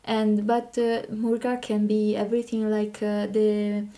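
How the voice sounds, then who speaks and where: A woman from Italy with an Italian accent, talking as a podcast guest in halting, hesitant phrases. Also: mid-range voice, monotone, mellow. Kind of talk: informal interview talk.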